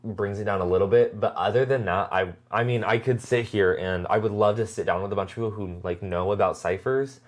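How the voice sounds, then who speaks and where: This is a low-pitched voice in a casual review chat. The co-host speaks earnestly and haltingly, doubling back on words while working out each thought. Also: steady tone. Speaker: podcast co-host. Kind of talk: casual chat reviewing a web horror series.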